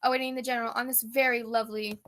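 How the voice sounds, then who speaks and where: A woman's voice: on the high side, flat delivery, racing, fairly bright tone, lightly acted. A woman playing an earnest, overblown female news reporter in a comic radio play. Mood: portentous. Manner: portentous, overblown.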